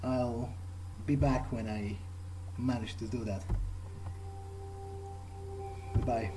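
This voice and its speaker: A man improvising in character as he plays a game, speaking hesitantly and in a measured way with pauses. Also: medium pitch, fairly even intonation, music in the background, low-quality recording. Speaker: gamer playing in character. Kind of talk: improvised in-character role-play over a game.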